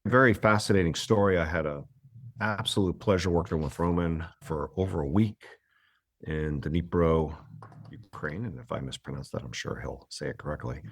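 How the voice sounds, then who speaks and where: A man's voice: rumbling low, normal speed, no echo. A man hosting a medical podcast, reading a formal guest introduction and then asking questions. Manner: formal, reads a long guest biography. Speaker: podcast host. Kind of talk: scripted introduction and interview.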